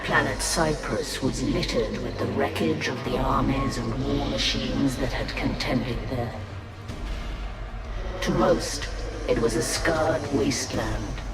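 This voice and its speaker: A man narrating a game cutscene in a measured, grave manner. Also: medium pitch, a loud crowd. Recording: narration over loud music.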